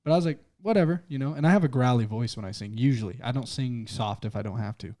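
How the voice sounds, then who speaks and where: A man's voice: mid-range voice, fast talker, darkish tone, no echo, studio quality. A young man from Utah, a singer-songwriter guest, chatting loosely and swearing as he rambles through a story. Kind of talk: casual podcast chat.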